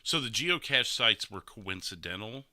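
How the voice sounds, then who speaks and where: A man's voice: on the low side, animated, moderate pace, sharp tone, no echo, studio quality. A man hosting a casual discussion show, talking through recorded gameplay in an informal way with some swearing. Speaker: podcast host.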